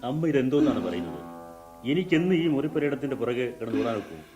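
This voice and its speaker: An actor playing a defensive grown son who is a young lawyer, in an old radio play. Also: male voice, medium pitch, sing-song, darkish tone, close to an everyday voice. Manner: quick and defensive. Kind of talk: 1970s radio drama acting. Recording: radio play with music behind.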